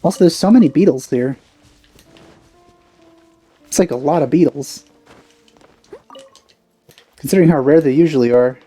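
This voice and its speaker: A man's voice: fairly high pitch, normal speed, long pauses, mellow, slightly rough, faint music behind. A man giving casual, off-the-cuff commentary as he plays a video game. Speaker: let's player.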